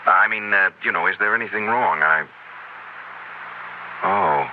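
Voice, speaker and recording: A man's voice: fairly low pitch, a little slow, natural voice. An actor playing a sober, cautious, worried neighbor man with a grave, matter-of-fact manner in a 1970s radio drama. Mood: hesitant, polite. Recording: noisy, muffled 1970s radio broadcast.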